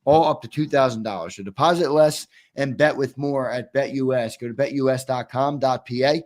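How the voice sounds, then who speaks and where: A man's voice: medium pitch, fairly quick, darkish tone. A man hosting a casual sports talk show, upbeat and losing his voice. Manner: upbeat, reads viewer questions and ad reads. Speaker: host.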